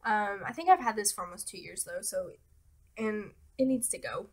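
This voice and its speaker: A young woman talking casually about beauty products as a vlogger, relaxed and hesitant with many fillers, her nose a little stuffy. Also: mid-range voice, moderate pace. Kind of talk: casual product talk to camera.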